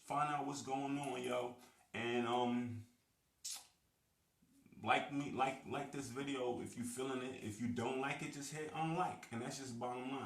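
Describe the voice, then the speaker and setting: A man's voice: medium pitch, creaky, echoey room, far from the mic. A man giving a slangy, emphatic vlog monologue to his viewers, repeating his points. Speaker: video blogger. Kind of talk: casual street-talk monologue to camera.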